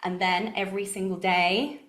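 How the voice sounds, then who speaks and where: A woman giving an online masterclass talk and answering questions, brisk and enthusiastic, speaking in long flowing runs over a video call. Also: medium pitch, fairly even intonation, a bit bright. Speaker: guest speaker. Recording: echoey room on a video call.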